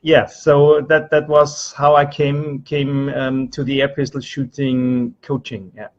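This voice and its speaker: A man's voice: mid-range voice, little pitch movement, takes it slowly. A man from Austria with an Austrian accent answering interview questions hesitantly, repeating words as he searches for the next. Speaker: trainee being interviewed, business coach. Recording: dull, lo-fi sound.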